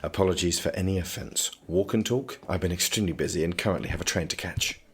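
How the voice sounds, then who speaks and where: An actor playing an aloof, sardonic young prince and magician in an audio drama, speaking formally, dryly and guardedly. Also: male voice, low voice, fast talker, slightly rough. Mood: stiff, polite. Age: young adult. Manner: formal, dry, guarded speech.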